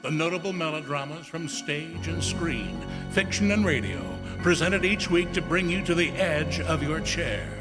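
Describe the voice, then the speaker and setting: An actor playing a grand, ominous 1940s radio announcer with a portentous, drawn-out delivery. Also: male voice, fairly high pitch, loud music behind the voice, lightly acted.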